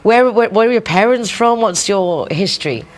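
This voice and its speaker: A woman's voice: mid-range voice, animated, normal speed. A woman hosting a TV interview, asking her guest questions with engaged enthusiasm.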